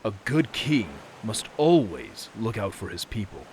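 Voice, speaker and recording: An actor playing a good-natured, easily flustered young Viking prince who tells tales theatrically with dramatic pauses. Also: male voice, fairly low pitch, very animated, a little hiss or hum, rough recording. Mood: solemn storytelling. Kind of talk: scripted fairy-tale storytelling. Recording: music behind the voice.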